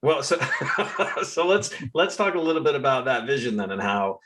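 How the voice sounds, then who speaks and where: A man's voice: mid-range voice, big pitch swings, moderate pace, noisy background. A man hosting a podcast in a chatty, joking, rambling way. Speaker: podcast host. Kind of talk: casual podcast interview.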